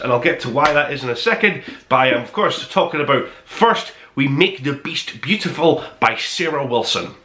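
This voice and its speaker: A man with a bright, forward voice and a middling pitch talks in a roomy, echoing indoor space. He presents a casual book review as the host, enthusiastic and effusive. His delivery is conversational and rambles along as if chatting straight to the viewer. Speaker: podcast host reviewing books. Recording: roomy, echoing room sound.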